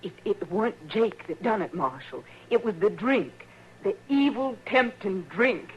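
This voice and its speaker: A woman's voice: mid-range voice, expressive, unhurried, natural voice. A woman playing a bitter, grieving frontier mother in an old radio western, plain-spoken, with a rural Western American accent. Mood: anguished pleading. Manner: plain-spoken, folksy, unyielding. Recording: muffled, hissy old radio recording.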